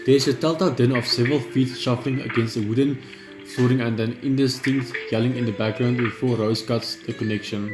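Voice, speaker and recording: Guy reading a game story out loud, mid pitch, echoey room, music playing under it. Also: brisk. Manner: steady, reflective reading with small slips and restarts. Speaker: gamer reading a visual novel aloud. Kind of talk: first-person story narration.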